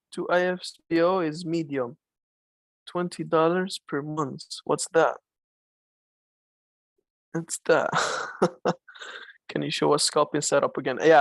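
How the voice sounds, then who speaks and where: A man runs a live online trading lesson in a loose, coaching way, answering chat questions as they come. His voice sits fairly high with a gravelly edge. The sound is close and dry. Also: dry recording. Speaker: trading instructor.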